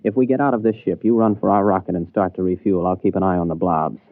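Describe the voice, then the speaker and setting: A man's voice: deep voice, steady tone, fast talker, slight background noise. An actor playing a brave, wry space captain who tells his story in a 1950s radio drama. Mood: decisive. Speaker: actor, lead and narrator. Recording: tinny 1950s radio broadcast, music.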